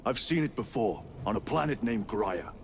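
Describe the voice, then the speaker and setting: An actor playing a grave, resolute veteran space marine lieutenant in a game, with clipped commanding delivery. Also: male voice, lowish voice, lively intonation, normal speed, background noise. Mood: grave, urgent. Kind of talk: cinematic game dialogue.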